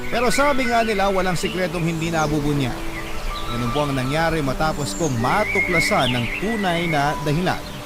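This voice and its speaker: A man's voice: on the high side, lively intonation, moderate pace, lightly acted. A man with a Filipino accent narrating his own heartbreak as a letter writer in a radio drama, earnest and heavy-hearted. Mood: sorrowful. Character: young man, wounded and resigned storyteller. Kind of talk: dramatic first-person narration. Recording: loud music bed under voice.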